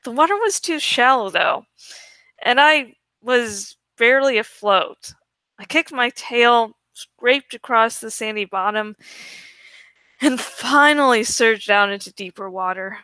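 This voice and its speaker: Woman doing an expressive audiobook read, bright voice, takes it slow, dry close sound. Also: fairly high pitch, smooth, dry recording. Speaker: amateur audiobook reader. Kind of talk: expressive first-person story reading.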